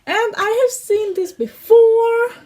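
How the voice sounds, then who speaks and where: A woman's voice: very high voice, slow, warm tone. A young woman with a Swedish accent chatting casually in a reaction video, giggly, with slightly broken English grammar. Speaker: YouTuber reacting to songs.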